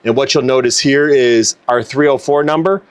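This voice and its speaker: A man's voice: mid-range voice, normal speed, clear, resonant tone. A man hosting a car tuning video, explaining in a casual, matter-of-fact, conversational way. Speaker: car tuner and video host.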